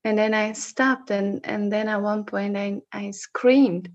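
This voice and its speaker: A woman from the Netherlands with a Dutch accent, sharing personal reflections on a video call in a slow, searching way with frequent restarts. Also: mid-range voice, fairly even intonation, highs cut off. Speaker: session facilitator.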